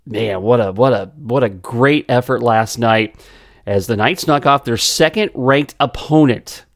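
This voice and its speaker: A man hosting a sports podcast, his voice a little raspy, chatting with frequent pauses and fillers. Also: mid-range voice, no echo, clean studio sound. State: slightly raspy voice.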